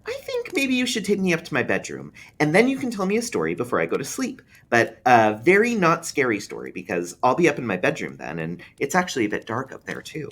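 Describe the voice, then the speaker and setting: A man's voice: medium pitch, huge pitch swings, a bit bright, pitched above the performer's own voice, exaggerated voice. A man voicing a precocious, serious young boy in an eerie storybook, earnest and thoughtful, a bit scared. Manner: earnest, matter-of-fact, pausing thoughtfully. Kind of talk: read-aloud eerie storybook dialogue.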